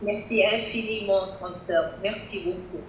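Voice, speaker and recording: A woman's voice: fairly even intonation, measured pace. A woman moderating a conference live, polite and deferential, with frequent hesitations between phrases. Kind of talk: live introductions and thanks. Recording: echoey, noisy with low rumble.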